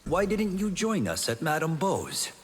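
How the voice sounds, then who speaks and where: An earnest young warrior for a video game, lively and eager. His voice rings with long reverb over a faint hiss of noise. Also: a little high, a little slow. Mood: earnest, curious. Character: earnest young male warrior, destined thunder champion. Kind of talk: video game character dialogue.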